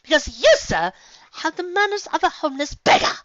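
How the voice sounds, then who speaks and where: Narrator putting on a high-pitched, haughty lady unicorn. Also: sing-song, fairly quick, fairly bright tone, dry recording, muffled recording. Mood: smug. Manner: prim, poised, mock-polite. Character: refined, haughty female unicorn, coolly witty. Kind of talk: fan fiction story character voice.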